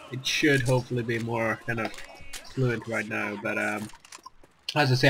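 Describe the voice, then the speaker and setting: A man's voice: medium pitch, noisy background. A young man, rambling in casual gameplay commentary while still getting over an illness, full of fillers and restarts. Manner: rambling, self-deprecating, many fillers and restarts. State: recovering from a virus, lost his voice. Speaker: gaming YouTuber.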